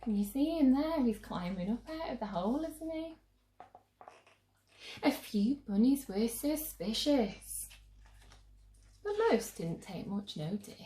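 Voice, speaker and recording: High, animated woman reading a storybook. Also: roomy sound, a little hiss or hum. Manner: theatrical, drawn-out emphasis for young listeners. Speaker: children's story reader. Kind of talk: expressive picture-book read-aloud.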